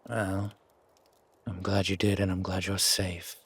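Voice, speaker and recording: Gruff werewolf founder, played by a male actor: heavily rasping low voice, slow guarded delivery, dry and protective, for roleplay audio drama. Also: flat delivery, fairly bright tone, exaggerated voice. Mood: calm, measured. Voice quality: husky, gravelly rasp. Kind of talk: roleplay audio drama, intimate one-sided dialogue.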